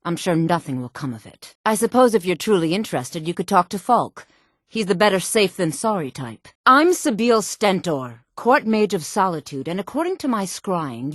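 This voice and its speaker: A woman's voice: on the low side, no echo, clean studio sound. An actress playing a haughty woman mage in a fantasy video game, speaking in a sultry, formal, imperious way. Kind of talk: video game character voice acting.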